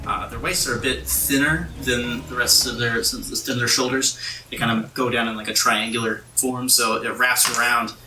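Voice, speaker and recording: A man working as game master, narrating a tabletop game off the cuff in a halting, improvised way. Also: mid-range voice, steady tone, distant mic. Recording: echoey room, off-mic, music underneath. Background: music.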